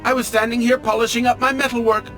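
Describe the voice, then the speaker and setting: A man's voice: very high-pitched, little pitch movement, fast talker, a bit bright, faint music behind. A man playing a pompous, melodramatic automaton train engineer in a video game, with formal, fussy and theatrical diction. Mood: indignant, horrified. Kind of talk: video game character acting.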